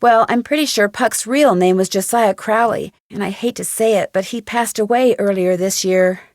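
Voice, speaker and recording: A woman's voice: medium pitch, normal speed, darkish tone, dry recording, studio quality, natural voice. A woman playing a polite, inquisitive teenage girl detective in an adventure game, speaking earnestly. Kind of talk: scripted adventure game dialogue.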